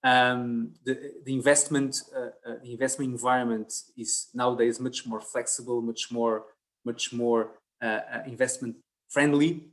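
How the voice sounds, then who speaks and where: A man moderates an online conference panel, his pitch sitting in an ordinary middle range. He talks in a halting way, full of fillers and restarts as he works toward each point. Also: fairly even intonation.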